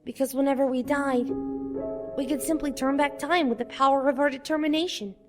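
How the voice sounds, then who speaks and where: A woman playing a haunted young child in a fan comic dub, solemn and deliberate. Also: high voice, fairly even intonation, over music, close to an everyday voice. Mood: grim, confessing. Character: haunted young child confessing past killings.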